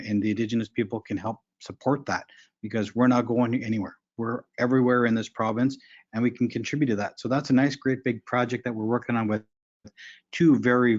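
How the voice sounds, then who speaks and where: A man in his forties speaking frankly and earnestly on a conference panel, pausing often with fillers and restarts. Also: medium pitch, dull audio. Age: 40s.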